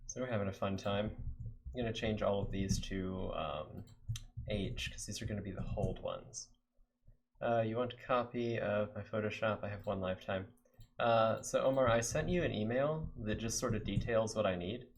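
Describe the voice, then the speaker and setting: A man's voice: fairly low pitch, normal speed. A young American man from Texas chatting casually to his viewers while coding on a live stream, rambling with lots of fillers. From Texas, USA. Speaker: game developer streamer.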